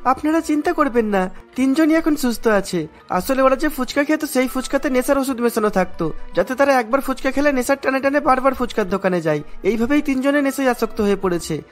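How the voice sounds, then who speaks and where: A man's voice: extremely high pitch, lively intonation, a little fast, soft background music. A man voicing a serious doctor in a cartoon, reassuring and explaining things carefully. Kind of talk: cartoon voice acting.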